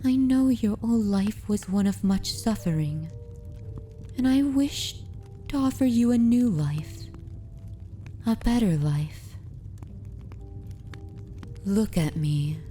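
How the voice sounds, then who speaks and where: A woman playing a dominant, possessive yet tender vampire mistress in an ASMR roleplay, speaking softly and intimately in a formal, courtly manner. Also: mid-range voice, animated, soft background music. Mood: coaxing, possessive. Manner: slow, deliberate, formal and courtly. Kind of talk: ASMR roleplay, soft intimate delivery with whispers.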